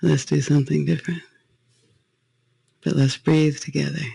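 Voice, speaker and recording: A man leading a guided meditation as a spiritual talk, phrasing gently and unhurriedly with pauses. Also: on the high side, little pitch movement, creaky, breathy edge, no room sound.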